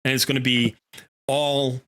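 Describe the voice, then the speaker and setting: A man with a bright, forceful voice hosts a casual gaming livestream. He talks in a chatty, jokey way at an ordinary mid pitch. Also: little pitch movement, unhurried. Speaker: livestream host.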